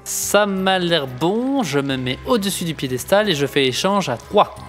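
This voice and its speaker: Man playing a high-voiced, swooping earnest adventurer. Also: expressive, measured pace, fairly bright tone, faint music behind. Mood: earnest, confident. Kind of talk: French amateur audio comedy acting.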